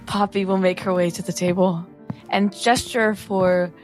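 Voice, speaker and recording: A woman's voice: medium pitch, flat delivery, measured pace. A woman playing a tabletop roleplaying game, improvising narration of her character's actions. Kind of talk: improvised third-person narration and in-character play. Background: faint background music.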